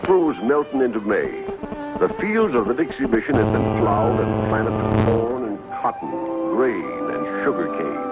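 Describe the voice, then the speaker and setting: An earnest narrator of frontier life in a 1950s TV western. His low voice rises and falls expressively. Loud music sits behind it. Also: very animated, measured pace, muffled recording.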